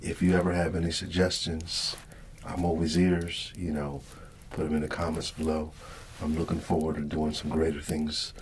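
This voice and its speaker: Middle-aged man, deep voice, unhurried hesitant rambling, soft-spoken ASMR host, faint-to-clear background noise. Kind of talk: ASMR unboxing and casual rambling.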